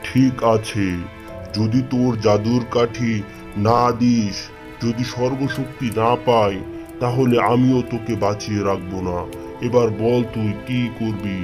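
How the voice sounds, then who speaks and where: A man's voice: mid-range voice, steady tone, measured pace, over music, lightly acted. A man playing a wicked sorcerer in a children's cartoon, with a theatrical villain's delivery. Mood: menacing. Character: wicked male sorcerer, threatening, then begging for life.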